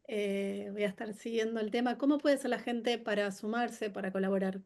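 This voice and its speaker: A woman hosting a webinar interview, talking in a halting, conversational way with many restarts. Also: mid-range voice, steady tone. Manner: warm-hearted, halting with many restarts and fillers. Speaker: webinar host.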